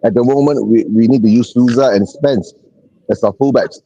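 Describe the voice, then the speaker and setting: A man's voice: medium pitch, moderate pace, warm tone, no room sound, poor audio. A man chatting about football on a live stream, hesitant and stammering, often tagging sentences with "right?". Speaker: panel guest. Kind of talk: casual football chat.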